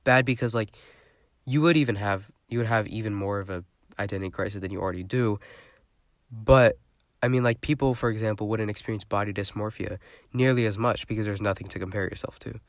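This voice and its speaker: Congested young man, low voice. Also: brisk, dry recording, clean studio sound. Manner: rambling, chatty, lots of fillers. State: congested, stuffy voice. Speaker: podcast host. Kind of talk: casual solo podcast monologue.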